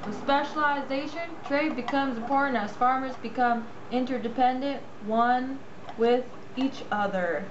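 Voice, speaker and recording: A woman's voice: high-pitched, unhurried, reverberant, highs cut off, background noise. A woman playing an instructive farmer puppet in a scripted educational show. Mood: explanatory. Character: explanatory farmer puppet, addressed as Mister.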